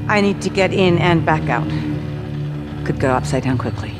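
A woman's voice: low-pitched, expressive, normal speed, loud music behind the voice. A woman playing a resolute, wry intelligence officer in a military game, talking directly. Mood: guarded, wry. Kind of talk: cinematic military game dialogue.

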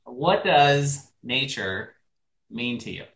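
A man's voice: mid-range voice, lively intonation, takes it slowly, a bit bright, a little hiss or hum. A man, casually hosting an interview on a video call from a small room. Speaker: interview host. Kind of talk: casual hosting, asking questions. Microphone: shared device at arm's length. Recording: roomy, echoing video call.

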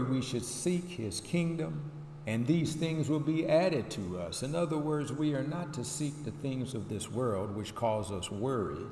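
An older male pastor delivers a sermon with measured emphasis and deliberate pauses. His voice sits at a middle pitch and rings through a roomy, reverberant church, with a faint hum of noise behind it. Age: 60s or older. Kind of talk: sermon with scripture reading. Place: wood-panelled church sanctuary, indoors. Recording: echoey church hall, steady hum.

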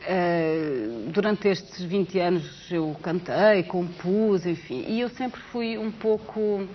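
Middle-aged portuguese lady, bit of brazilian in her accent, lively storytelling, lapel mic, dull old tv sound w/ faint hiss. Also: lowish voice. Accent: Portuguese with Brazilian touches. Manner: animated storyteller, gesturing, quick asides. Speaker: guest, director of the project. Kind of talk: casual chat on a TV talk show. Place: TV studio set.

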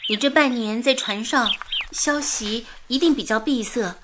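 An actress playing an affectionate female radio colleague who gently scolds her suitor in a radio drama. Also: fairly high pitch, measured pace, a little hiss or hum. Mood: informative. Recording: radio drama with soft music behind.

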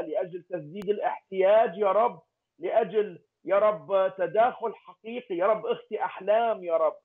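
Pleading high-voiced man, phone-thin sound. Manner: pleading, repetitive petitions naming people one by one. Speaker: prayer leader. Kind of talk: fervent intercessory prayer. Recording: tinny phone-like line.

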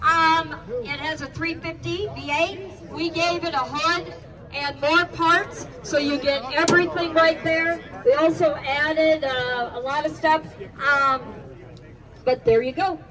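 A woman presenting a car at an outdoor estate sale auction, speaking haltingly with many fillers. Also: high voice, steady tone. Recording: distant voice with crowd noise.

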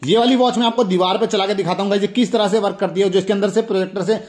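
A man's voice: high-pitched, steady tone, rapid-fire, a little mellow. A man with an Indian accent hosting a casual hands-on toy demonstration, chatty and repetitive, talking straight to the viewers. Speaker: toy review video host.

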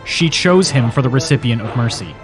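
A man's voice: mid-range voice, animated, brisk, over music, natural voice. A man playing an uneasy, dutiful asylum staffer in an audio drama. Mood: concerned.

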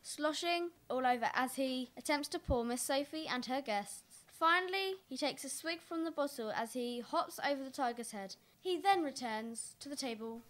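A woman's voice: high-pitched, expressive, moderate pace, hardly any pauses, a bit bright. A young woman reading stage directions as scripted narration for a play. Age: young adult.

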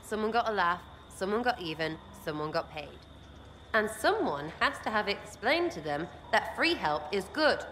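A woman's voice: mid-range voice, very animated, moderate pace, fairly bright tone, slight background noise. An actress playing a brash, irreverent young female elf archer in a video game, with an English accent and a slangy, cheeky, scattered delivery. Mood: scornful, animated.

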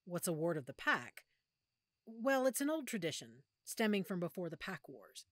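A woman acting a protective, weary werewolf pack leader in an audio roleplay, speaking softly and measuredly with thoughtful pauses. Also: fairly low pitch, very animated, no echo, clean studio sound. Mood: patient, explaining. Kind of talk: audio roleplay drama, one-sided conversation.

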